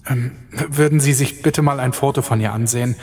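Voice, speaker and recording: A man's voice: mid-range voice, steady tone, brisk, darkish tone, close to an everyday voice. An actor playing an uneasy, wisecracking young man in a horror audio drama, talking hesitantly.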